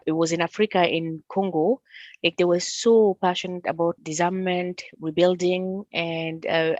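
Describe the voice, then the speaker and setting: Cameroonian French-accented woman, low and close. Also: dry recording, highs cut off. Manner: animated storyteller, acts out quoted voices. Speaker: guest. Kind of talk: radio interview, storytelling. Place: radio studio.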